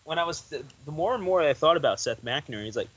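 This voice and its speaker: A man's voice: fairly high pitch, animated, fast talker, a bit bright, husky, dull audio. A young man talking as a guest on a podcast, rambling loosely with lots of "like" and restarts. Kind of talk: casual interview chat.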